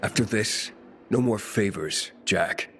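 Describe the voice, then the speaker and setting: A man's voice: on the low side, takes it slowly, fairly bright tone, slight background noise. An actor playing an impatient, weary young male survivor in a video game, blunt and sardonic. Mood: weary, resigned. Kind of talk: cinematic game dialogue.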